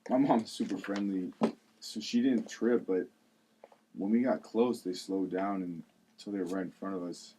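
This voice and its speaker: A man's voice: sits low, moderate pace, mellow, breathy edge, poor audio. A man acting an earnest, protective friend in a table read, confiding in a conversational storytelling way. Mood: reflective, confiding.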